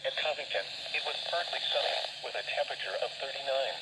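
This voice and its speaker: A man's voice: medium pitch, fast talker. An American man reading a scripted weather bulletin as an announcer over the radio. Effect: radio broadcast. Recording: weak noisy radio reception, distant. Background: radio static and noise.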